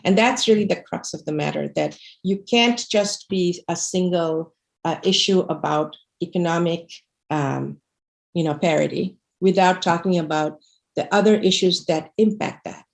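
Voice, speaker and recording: Gravelly-voiced woman from Bangladesh, earnest. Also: lowish voice, moderate pace. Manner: earnest, many fillers and restarts. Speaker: guest speaker, activist. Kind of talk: presenting slides in a livestream talk. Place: home room indoors. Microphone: video call webcam.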